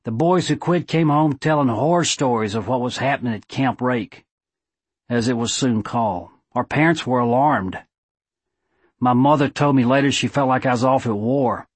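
Guy doing squeaky older southern judge, thick drawl, earnest storybook speech, dry sound. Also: normal speed, breathy edge, dry recording, close to an everyday voice. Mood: earnest, reminiscing. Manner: drawling, oratorical. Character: aging small-town judge, earnest former football player. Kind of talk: audiobook character eulogy.

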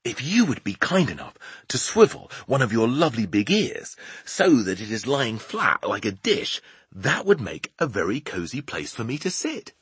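A man's voice: mid-range voice, big pitch swings, moderate pace, fairly bright tone, no echo, pitched above the performer's own voice, full character voice. A man voicing a small young storybook girl, plucky and polite, with proper phrasing. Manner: polite, proper phrasing, often breathy whispering.

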